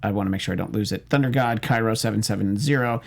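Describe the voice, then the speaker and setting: A man with a creaky, gravelly edge to his voice, chatting casually as a panelist on a movie talk show. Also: on the low side, fast.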